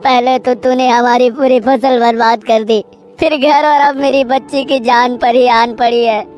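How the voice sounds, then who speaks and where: A woman's voice: a little high, fairly even intonation, measured pace, darkish tone, faint music behind, lightly acted. A woman playing a troubled poor farmer, husband and father in a moral story, earnest and plaintive. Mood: bitter despair.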